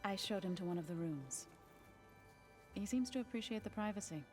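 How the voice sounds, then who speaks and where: An actress playing a wise, composed mentor in a video game, with a wry, gently firm manner. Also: female voice, mid-range voice, animated, normal speed, soft background music, natural voice. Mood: gentle. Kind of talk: video game cutscene acting.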